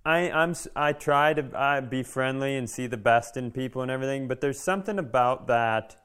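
A man's voice: medium pitch, brisk, fairly bright tone, smooth. A man co-hosting a comedy podcast, riffing casually with some swearing and little acted-out exchanges.

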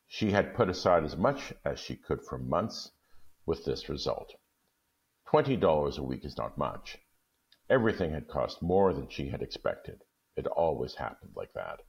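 Very deep-voiced man, muffled recording. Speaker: guest story reader. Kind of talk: casual chat, then reading a short story aloud. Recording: dull, muffled lo-fi audio.

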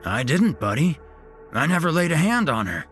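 A man's voice: mid-range voice, expressive, a little slow, a bit bright, soft background music, voice pushed up. A man voicing a sinister, falsely cheerful old grandfather with a folksy, chipper manner that turns menacing. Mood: wounded.

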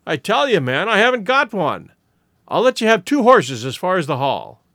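Man acting a surly innkeeper, suspicious, gruff, rustic, animated intonation, dry close storybook recording. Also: sits high, moderate pace, no echo, exaggerated voice. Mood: insistent. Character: morose, heavy, suspicious male country innkeeper.

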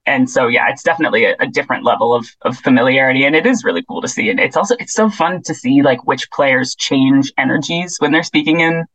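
A woman sports reporter talking in a casual interview, chatty and quick, often restarting her sentences and laughing. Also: extremely low pitch, fairly bright tone, husky, no echo, low-quality recording. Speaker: tennis and basketball beat reporter.

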